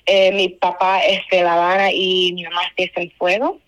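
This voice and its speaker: A woman's voice: on the low side, flat delivery, normal speed, muffled recording. Young woman with a Cuban accent. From Spain, raised in a Cuban family. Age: young adult. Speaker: student award winner being interviewed. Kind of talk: informal interview answers.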